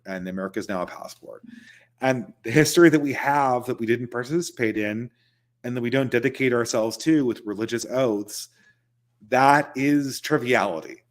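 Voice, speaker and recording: A man's voice: medium pitch, moderate pace. A man hosting a casual livestream, answering viewer questions in loose, conversational talk and chuckling between points.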